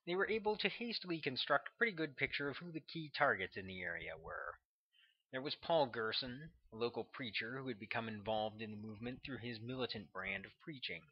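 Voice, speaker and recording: A man's voice: medium pitch, husky, highs cut off. A man reading his own novel aloud as scripted audiobook narration.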